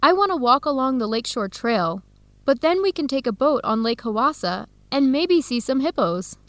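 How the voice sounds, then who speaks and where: Young female researcher for educational radio drama: warm, upbeat, fairly high voice, clear simple lines. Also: sing-song, normal speed, no echo. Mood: cheerful. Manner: clear, simple phrasing for English learners.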